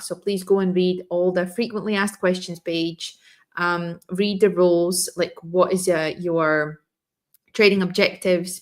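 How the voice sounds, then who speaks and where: Female live-stream trading host, slightly low voice going hoarse, casual and meandering delivery. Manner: loose, restarting explanations. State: losing her voice, throat clearing.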